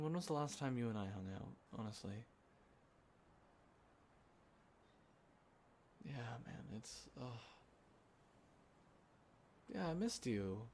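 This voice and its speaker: A man acting as a sentimental young guy catching up with a close friend, talking in a casual, halting, soft-hearted ramble for an intimate roleplay audio. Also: medium pitch, animated. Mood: wistful, sincere. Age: young adult. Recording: clear background ambience noise. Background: quiet city ambience.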